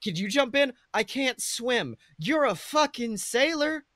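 A man's voice: very high-pitched, sing-song, measured pace, bright, forceful tone, no echo, studio quality. A man co-hosting a podcast in casual comedic chat, joking with lots of slang and swearing. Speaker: podcast co-host.

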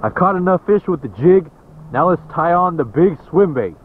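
A man's voice: on the high side, moderate pace, narrow, tinny audio. Upbeat male vlogger, 30s, outdoor shoreline, slight wind hiss. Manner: excited, upbeat. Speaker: fishing vlogger. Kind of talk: casual talk to camera. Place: outdoors on a rocky shore by the sea.

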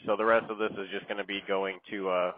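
A man's voice: fairly low pitch, level tone, fast talker, no room sound. A middle-aged man riding a motorcycle, chatting casually and joking about himself, with plenty of fillers as he goes. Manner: rambling, joking, lots of fillers. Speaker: motorcycle vlogger. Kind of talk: casual self-deprecating chat while riding. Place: outdoors on a moving motorcycle. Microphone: helmet mic while riding. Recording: tinny muffled helmet mic with road noise.

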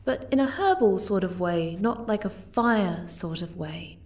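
A woman voicing a gentle, timid, caring young moth girl in an ASMR roleplay, soft-spoken and hesitant. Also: medium pitch, lively intonation, a little slow, close to an everyday voice. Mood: warm, curious.

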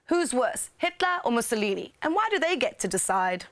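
A young woman hosting a news magazine show, reading a script briskly with wry asides in a studio. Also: on the high side, big pitch swings, a bit bright, no echo. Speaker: show host. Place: studio with blue screen.

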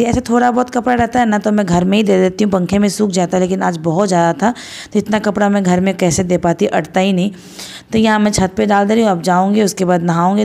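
A woman in her thirties from India, narrating her home chores in a chatty, homely voice-over, still hoarse after a cold. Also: medium pitch, a little mellow. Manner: chatty, homely, rambling with fillers. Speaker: vlogger.

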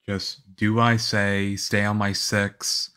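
A man playing a calm, reassuring young government agent in an ASMR roleplay, speaking softly. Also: lowish voice, smooth. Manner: soft-spoken, unhurried, reassuring.

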